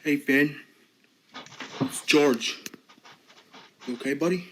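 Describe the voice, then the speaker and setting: A man playing a gentle friend who speaks softly and soothingly, hesitating with small fillers. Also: a little high, slow pace, slight background noise. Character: gentle supportive male friend. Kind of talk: amateur drama acting.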